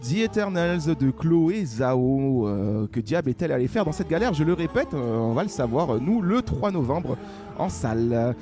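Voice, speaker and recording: A man's voice: a little high, very animated, moderate pace, over loud music. A man hosting a casual film podcast, joking and laughing often between remarks. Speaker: podcast host. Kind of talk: casual chat.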